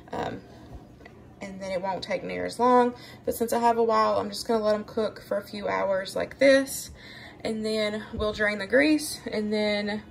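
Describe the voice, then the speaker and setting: Chatty woman with gravelly fry. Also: medium pitch, slight background noise. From Southern United States. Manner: chatty, homey, relaxed explaining. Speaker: home cooking and cleaning vlogger. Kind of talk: casual voice-over narration.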